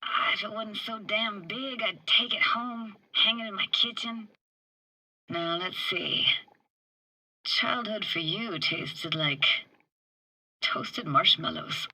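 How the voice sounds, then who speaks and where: A woman's voice: mid-range voice, slow, sharp tone, heavily acted, low-quality recording. A woman playing a wry, tender young streetwise techie in a video game, with casual, clipped, slangy delivery. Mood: playful nostalgic. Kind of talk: video game character dialogue.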